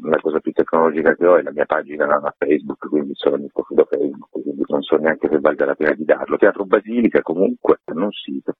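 A man's voice: a bass voice, fairly even intonation, fast talker, few pauses, slightly rough, no echo. A middle-aged man, a theatre author and actor, talking in a radio interview in a reflective, wordy way with many fillers. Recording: tinny phone-like radio line.

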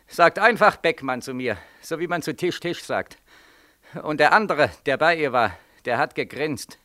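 An actor in a 1940s German radio play voicing a bitter, despairing young soldier home from war, sarcastic and emphatic. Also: male voice, medium pitch, a bit bright, natural voice. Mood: bitter sarcasm. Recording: vintage 1940s radio studio recording.

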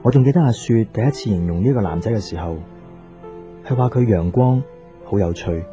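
Male actor as jealous young boyfriend, brooding, very mellow dark tone, Hong Kong Cantonese accent, radio drama, muffled recording. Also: lowish voice, brisk, lightly acted. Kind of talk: Cantonese radio drama, inner narration and dialogue. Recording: dull lo-fi radio recording with music.

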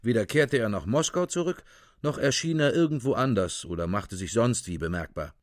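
Actor as male chronicler, official reporting tone, clipped, mid-pitched, dry close sound, radio play. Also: expressive, normal speed, no echo, natural voice. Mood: matter-of-fact. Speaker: narrator.

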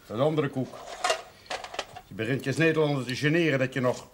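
An actor playing a gruff, impatient, middle-aged country father in a television period drama, blunt and grumbling. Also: mid-range voice, fast talker, natural voice. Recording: noisy older TV soundtrack, clinking tableware.

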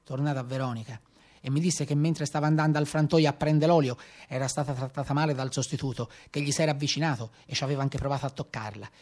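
A man with a Tuscan accent playing a rough peasant brigand of the Maremma in a theatrical storytelling monologue. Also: medium pitch, fairly even intonation, fast, a little mellow, natural voice. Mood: grim narrating. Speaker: stage actor. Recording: live guitar music behind.

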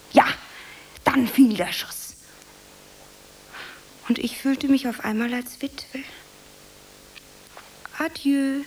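A woman playing an overwrought, romantic young aspiring actress in a radio drama, with an expressive, theatrical delivery. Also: mid-range voice, a little hiss or hum, rough recording. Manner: melodramatic, expressive. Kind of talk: German radio drama acting.

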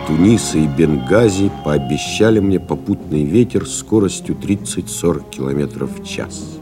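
An actor playing a reflective pilot-writer, narrating memories in a measured, solemn, literary radio-play style. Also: male voice, mid-range voice, warm tone. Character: reflective male pilot-writer recalling friends and desert flights.

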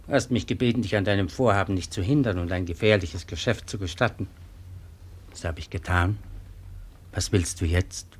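An actor playing a weary, calculating old Malay ruler in a radio drama, commanding and deliberate in manner. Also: male voice, deep voice. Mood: stern, threatening. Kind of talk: German radio drama acting.

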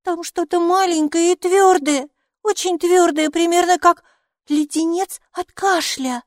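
A woman voicing a lively, stubborn, inquisitive little girl in a children's audiobook, speaking emphatically. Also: extremely high pitch, unhurried, dry recording, studio quality, voice pushed up. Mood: earnest. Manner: emphatic, excitable, matter-of-fact.

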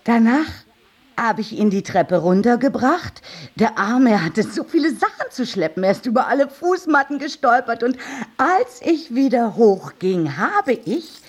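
An actress playing a gossipy, flirtatious neighbor with a husky voice, coy and chatty, in a German radio drama. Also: female voice, on the high side, very animated, measured pace, full character voice. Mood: coy, savoring. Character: gossipy, flirtatious woman neighbor admiring a plumber.

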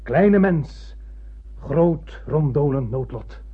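Old blind starship captain: very raspy, grandiose and brooding, poetic radio drama delivery, muffled recording. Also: male voice, on the high side, expressive, extremely slow, full character voice. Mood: defiant, intense. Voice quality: husky, raspy. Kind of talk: radio play acting.

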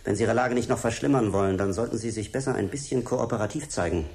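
An actor playing a stern, threatening European secret service agent in a radio drama, curt and commanding. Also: male voice, fairly low pitch, quick pace, gravelly, darkish tone. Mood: cold, pressing. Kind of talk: German radio drama acting.

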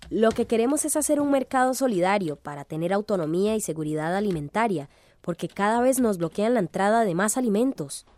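An actress playing a cheerful, earnest community organizer in a radio drama. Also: female voice, medium pitch, dark tone, natural voice.

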